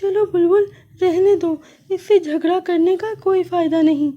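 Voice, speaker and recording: A small, gentle female sparrow , as a woman storyteller puts her on, pleading in a very high, tearful voice. Her tone stays soft and mellow. The recording sounds dull and lo-fi. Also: fairly even intonation. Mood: resigned.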